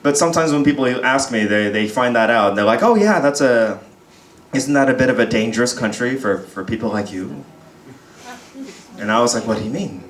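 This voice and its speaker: Young male comic from Texas, casual self-mocking patter, mid-pitched voice, echoey pub stage. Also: animated, a little fast. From United States (Texas). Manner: chatty, self-deprecating, often breaks into laughter. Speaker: stand-up comedian. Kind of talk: stand-up comedy set. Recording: roomy pub sound with faint crowd murmur.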